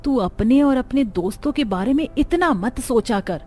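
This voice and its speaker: A woman's voice: a little high, lively intonation, normal speed, dark tone, faint music behind. A woman voicing a caring, patient middle-aged mother in a Hindi moral-story cartoon. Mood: reassuring.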